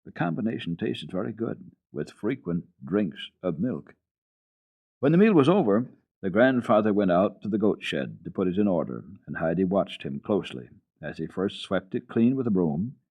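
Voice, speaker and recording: A man reading a children's story for radio in an expressive, deliberate, theatrical way. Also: low-pitched, slightly rough, narrow, tinny audio.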